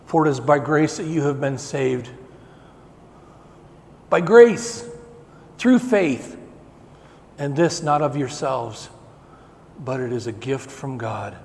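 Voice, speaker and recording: A middle-aged male pastor preaching a sermon in a church sanctuary, building emphasis with slow, repeated phrases. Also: mid-range voice, expressive, creaky, a little mellow. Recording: long reverb of a church hall.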